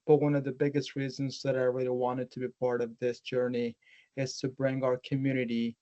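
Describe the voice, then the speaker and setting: A man's voice: medium pitch, level tone, fairly quick, mellow, dull audio. A man introducing himself on a video call, earnest, with frequent fillers. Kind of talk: self-introduction.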